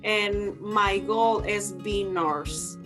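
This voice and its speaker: Very slow, halting Mexican woman. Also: medium pitch. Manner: halting non-native English. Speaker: aspiring nurse and mother. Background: guitar music.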